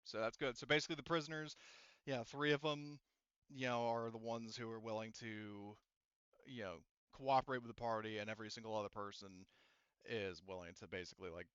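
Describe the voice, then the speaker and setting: A man narrating a tabletop roleplaying game as game master in a casual, halting, conversational way. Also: medium pitch, fairly bright tone, smooth, no echo, lo-fi sound. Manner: halting, conversational explaining with quoted character lines.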